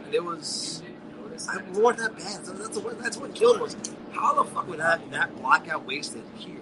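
A man's voice: fairly high pitch, expressive, moderate pace, noisy background. A man co-hosting a podcast in casual banter, blunt and profane, often repeating words as he builds his point. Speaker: podcast co-host.

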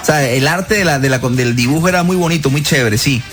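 A man's voice: fairly high pitch, fairly quick, a bit bright. A young man co-hosting a radio show in casual conversation, readily agreeing and repeating his words. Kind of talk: casual chat. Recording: faint music behind the voice. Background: music bed.

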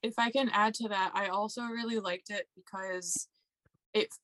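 A woman's voice: mid-range voice, steady tone, normal speed, fairly bright tone, dry recording, rough recording. A young woman on a casual book podcast, making her points in a conversational, wry way. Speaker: podcast co-host. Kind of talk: casual book discussion chat.

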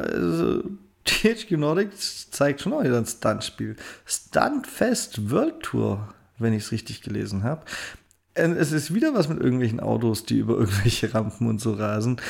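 A man's voice: mid-range voice, gravelly, a little mellow. A German-speaking man co-hosting a casual gaming podcast, joking and teasing while telling anecdotes. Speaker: podcast co-host.

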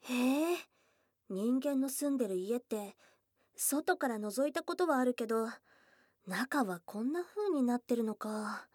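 A woman voicing a shy, affectionate young goblin girl in a Japanese ASMR voice drama, with casual tomboyish speech and a soft, hesitant delivery. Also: on the high side, lively intonation, a little slow, no echo, clean studio sound. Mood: curious, teasing. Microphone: close studio mic, dry.

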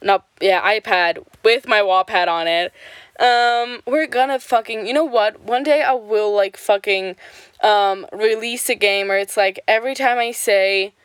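A woman's voice: medium pitch, measured pace, clean tone, no echo. Sick young woman podcasting with a lisp. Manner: slight lisp from swollen tongue; rambling, profane, lots of "like" and "um". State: stuffy, sick with swollen tongue. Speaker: podcast host. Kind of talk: casual solo podcast chat. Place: home at night.

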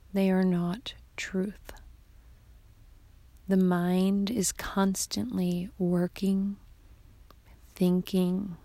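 A woman guiding a yoga nidra meditation in a soft, hushed, half-whispered voice, giving calm, soothing instructions. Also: lowish voice, no echo. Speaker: meditation guide.

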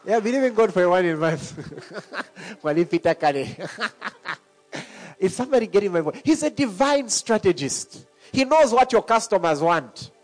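A middle-aged man preaching a sermon. He talks in an animated way and laughs often between points. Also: high-pitched, moderate pace. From Zambia.

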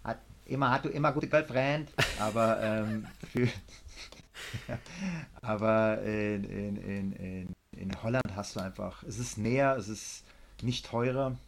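A man's voice: fairly low pitch, slow pace, creaky, a little hiss or hum. A middle-aged man chatting casually on a podcast, rambling with many fillers and blunt, opinionated remarks.

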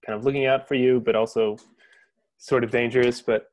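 A man's voice: medium pitch, flat delivery, a little fast, darkish tone. A man hosting an interview, prompting his guest with conversational questions and hesitant restarts. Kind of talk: casual interview.

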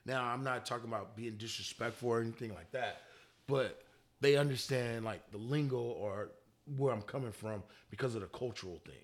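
A man in his forties co-hosting a casual podcast, talking in an easygoing, animated way with a slightly congested voice. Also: medium pitch. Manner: animated, joking, breaks into laughter. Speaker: podcast co-host. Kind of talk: casual chat.

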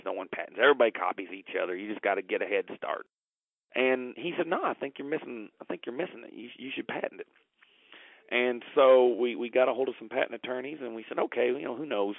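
A man's voice: fairly low pitch, dry recording. A man being interviewed over the phone as a company founder, chatting casually and telling folksy, enthusiastic anecdotes with bits of quoted conversation. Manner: folksy, enthusiastic storytelling, quoting past conversations. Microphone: telephone. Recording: thin, dry phone-line sound.

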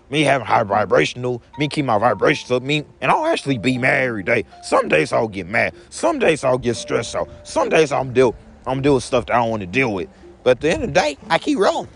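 A young man with a gravelly, creaky voice that swings up and down a lot as he rambles through a daily vlog. He keeps echoing his own phrases back as "you" statements. Faint music plays behind him. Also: medium pitch. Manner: repeats each phrase back in second person. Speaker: vlogger.

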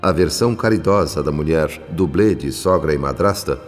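Radio drama narrator: low-voiced Brazilian storyteller, solemn and literary, heard with long reverb. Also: male voice, normal speed. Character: solemn male narrator telling a moral family story. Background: soft music.

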